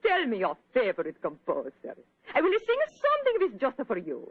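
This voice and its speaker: An actress playing a flamboyant, murderous opera singer in an old radio drama. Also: female voice, a little high, wildly swooping pitch, brisk, no room sound. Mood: seductive. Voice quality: theatrical, flamboyant. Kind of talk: 1940s radio acting. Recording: tinny old radio broadcast.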